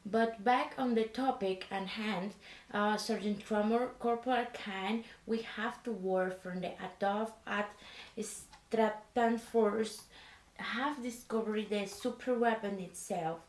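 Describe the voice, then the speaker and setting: A woman voicing a female resistance leader in pajamas, speaking softly and haltingly, with many hesitant fillers, in an ASMR roleplay. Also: medium pitch, roomy sound, distant mic, natural voice. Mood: earnest. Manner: halting, slow, with hesitant fillers and a foreign-sounding phrasing. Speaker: leader of a sleeper resistance unit. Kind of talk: soft-spoken ASMR roleplay.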